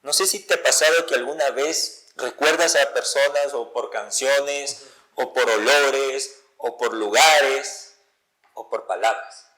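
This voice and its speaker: A man's voice: medium pitch, slow, pushed and bright, rough recording. An Ecuadorian man hosting a casual interview, feeling for his words and repeating phrases for emphasis. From Ecuador, born in Quito. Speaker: podcast host, interviewer.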